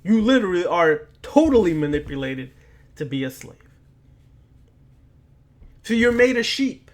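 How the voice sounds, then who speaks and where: A man lecturing like a preacher, speaking deliberately and emphatically, with repetition and rhetorical questions. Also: high-pitched, big pitch swings. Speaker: occult lecturer.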